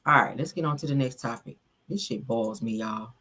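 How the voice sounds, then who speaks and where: Bright-voiced outspoken woman host. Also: extremely low pitch, fairly quick, slightly rough. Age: 30s. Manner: blunt, preachy, talks to chat. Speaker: live show host. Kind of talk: casual live-stream commentary.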